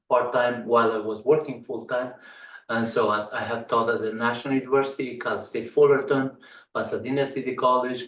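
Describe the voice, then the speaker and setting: A middle-aged man from Afghanistan, a native Persian speaker, teaching computer topics while he clicks through a website. He talks haltingly and fills pauses with ums and uhs. His voice is flat and sits back from the mic in a roomy, echoing space. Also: medium pitch. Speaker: computer science instructor. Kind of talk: screen-share tutorial walkthrough. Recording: echoey room, far from the mic.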